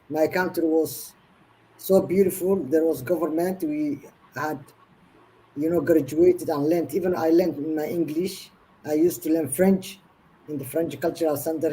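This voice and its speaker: A woman's voice: sits low, dark tone, slight background noise, poor audio. A woman in her fifties from Somalia, a guest in an online interview, telling her story earnestly in long run-on sentences. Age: 50s.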